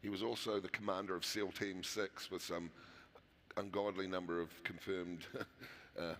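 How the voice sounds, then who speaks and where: Deep-voiced New Zealand man, hall echo. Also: flat delivery, moderate pace, fairly bright tone. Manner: conversational, many fillers and restarts. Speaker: mining company founder. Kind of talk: conference interview. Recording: long hall reverb.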